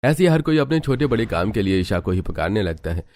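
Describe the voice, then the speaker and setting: A man with a low voice reads scripted story narration. He sets out the scenes of a family tale as the narrator. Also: big pitch swings, quick pace, a little mellow.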